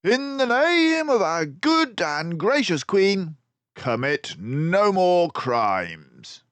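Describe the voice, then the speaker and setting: A man voicing a stern, calm mouse detective with a formal, declamatory manner in a storybook. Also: high voice, drawn-out words, clear, resonant tone, no room sound, pitched above the performer's own voice, full character voice.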